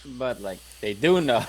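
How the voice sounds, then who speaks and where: A man's voice: medium pitch, a little hiss or hum. A man playing in a tabletop role-playing game, chatting casually, joking and swearing. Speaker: player.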